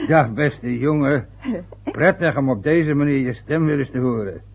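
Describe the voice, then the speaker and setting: An actor playing a fretful, rambling father turning sixty in an old radio play, with a husky voice. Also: fairly high pitch, animated, unhurried, full character voice. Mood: cheerful. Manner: rambling, loses his thread. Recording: phone-like lo-fi old radio recording.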